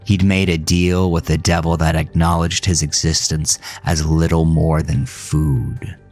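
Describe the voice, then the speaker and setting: A man narrating a first-person horror audio drama in a somber, dramatic manner with deliberate phrasing. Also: very deep voice, flat delivery, a little mellow. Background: music.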